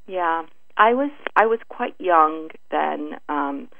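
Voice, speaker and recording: A middle-aged woman giving earnest interview answers in a halting, deliberate way, searching for her words, over a telephone. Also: deep voice, expressive, vocal fry, a little hiss or hum. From born in Beirut, Lebanon. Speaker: interview guest, writer. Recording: narrow, tinny phone line.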